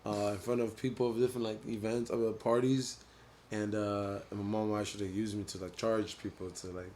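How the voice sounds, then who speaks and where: Chill low-voiced guy w/ honduran family, easygoing podcast chat, faint hiss behind. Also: little pitch movement, a little fast, darkish tone. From Honduran family, grew up in the US. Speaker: DJ and producer, guest.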